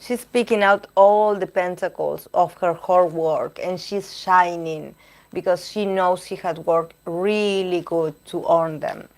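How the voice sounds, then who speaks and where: Slow-talking hoarse woman reading tarot. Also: on the low side, fairly even intonation, a little mellow. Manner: halting, searching for words while reading cards. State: losing her voice. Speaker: tarot reader. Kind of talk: live-stream tarot reading, chatting with viewers.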